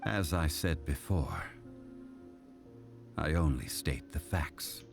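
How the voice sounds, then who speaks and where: An actor playing a calm, stately ancient male god who speaks in a formal, measured, solemn way. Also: extremely low pitch, gravelly, over music, full character voice. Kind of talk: game voice acting.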